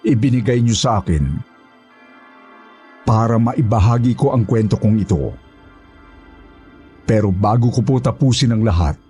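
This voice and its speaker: A man's voice: fairly low pitch, a little mellow, slightly rough. A man playing a humble former houseboy, narrating a horror story in the first person with an earnest, polite manner. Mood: grateful, solemn.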